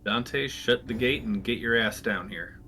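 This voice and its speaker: A man's voice: on the low side, measured pace, sharp tone, a little hiss or hum. Man barking orders at the game table. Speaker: player. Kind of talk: playing a tabletop game, partly in character.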